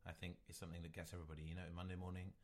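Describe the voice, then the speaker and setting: A man with a notably deep, low voice co-hosting a relaxed podcast. He talks in a meandering, hesitant way, restarting his sentences often. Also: rapid-fire. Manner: rambling, hesitant, with many restarts. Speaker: podcast co-host. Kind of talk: casual chat.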